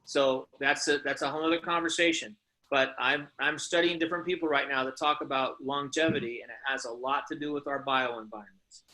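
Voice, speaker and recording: A man hosting a conference call, giving an emphatic, earnest and preachy motivational sales pitch and putting questions to his listeners. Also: steady tone, fairly quick, sharp tone, rough recording.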